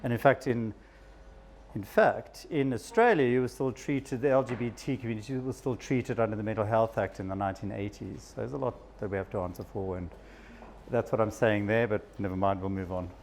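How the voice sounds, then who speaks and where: A man's voice: lowish voice, fairly quick, a little mellow, a little hiss or hum. A man from South Africa with a South African accent, a psychiatrist giving a casual, self-deprecating talk to a live audience. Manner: chatty, self-deprecating, with frequent laughs. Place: event room with an audience.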